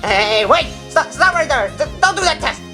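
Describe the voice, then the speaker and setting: A woman's voice: sits high, big pitch swings, moderate pace, music in the background. A woman voicing a hot-tempered, resentful male weasel crook who stammers indignantly in an amateur audio drama. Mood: panicked, defiant.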